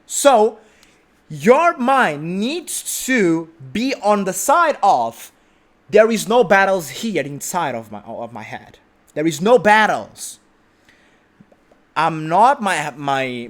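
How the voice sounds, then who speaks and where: A man's voice: fairly high pitch, sing-song, takes it slowly, fairly bright tone. A young man giving an emphatic motivational talk, repeating his points and often asking "right?". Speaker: motivational speaker. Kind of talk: motivational monologue.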